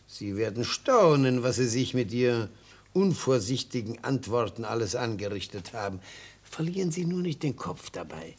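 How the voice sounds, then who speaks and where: An actor playing a fussy, reassuring old defence lawyer in a radio play, avuncular and deliberate, with a husky, creaky voice. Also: male voice, mid-range voice, very animated, a little mellow. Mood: cautioning, hushed. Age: older. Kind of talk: German radio play acting.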